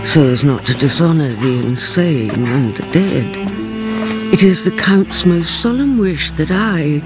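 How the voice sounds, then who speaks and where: A grave, devoted companion telling a tale in a radio drama. Her formal, theatrical delivery is slow and rises and falls widely. Music plays behind her. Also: deep voice, lively intonation, rough recording. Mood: solemn. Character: older woman narrator, the Count's devoted companion, solemn and impassioned.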